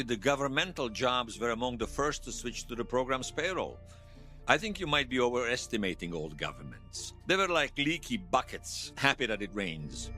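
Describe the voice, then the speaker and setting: A man's voice: medium pitch, pushed and bright. An actor playing a wry, folksy Bosnian man who tells his past in an audio drama interview. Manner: wry storytelling with colourful folk sayings. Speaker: storyteller being interviewed.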